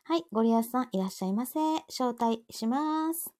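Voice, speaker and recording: High, animated Kansai woman in her forties. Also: fairly quick. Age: 40s. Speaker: live audio show host. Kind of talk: casual chat.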